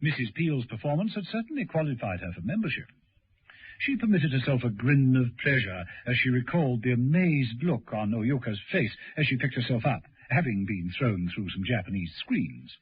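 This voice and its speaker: A man's voice: mid-range voice, very animated, normal speed, slightly rough. A man giving dramatic scripted narration for a radio serial. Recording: dull old radio recording.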